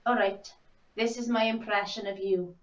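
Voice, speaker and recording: A woman's voice: mid-range voice, fairly even intonation, normal speed, echoey room, dull audio, lightly acted. A woman playing a bossy researcher on a polar expedition, talking in a scolding, sarcastic way. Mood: teasing. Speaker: actor in a telepresence improv rehearsal.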